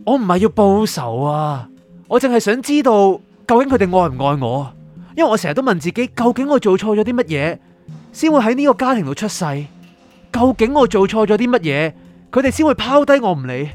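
Male actor, wistful orphaned young man in an audio drama, Hong Kong Cantonese, high-pitched with lively swings. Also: a little fast. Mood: anguished. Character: wistful dead young man, abandoned orphan, narrating memories. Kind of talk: radio drama narration and dialogue. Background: faint music.

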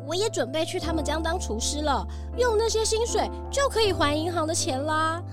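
Squeaky, flustered female cook acted by a woman. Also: animated, a little fast, over music. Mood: embarrassed, confessing. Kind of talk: children's detective radio drama.

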